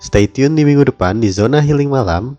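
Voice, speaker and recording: A man's voice: medium pitch, expressive, a little fast, dark tone, muffled recording, soft background music. A young man from Depok, hosting a solo late-night radio talk show while down with the flu, speaking casually and sometimes groping for words. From Depok, West Java. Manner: casual, searching for words, heartfelt. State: has the flu. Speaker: radio host.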